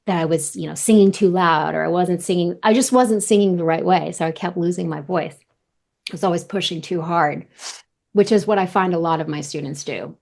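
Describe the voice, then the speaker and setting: A woman in her thirties talks loosely and at length, leaning on "like" and doubling her words as she goes. Her voice sits a little low, with a gravelly fry running under it. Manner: chatty, lots of "like" and repeated words. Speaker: vocal coach, interview guest. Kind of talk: casual interview chat.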